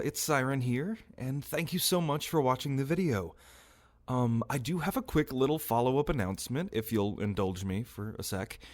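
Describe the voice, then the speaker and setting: A man speaking casually and earnestly as a channel host, giving an announcement to his listeners. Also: mid-range voice. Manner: earnest, conversational. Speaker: audio roleplay creator, channel host.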